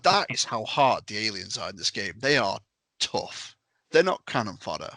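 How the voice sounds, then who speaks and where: A man with a slightly low, bright and forceful voice in a casual podcast conversation. He stresses his points and repeats them, acting out examples as he goes. The sound is very dry. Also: big pitch swings, moderate pace, creaky, dry recording. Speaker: game master and podcast guest.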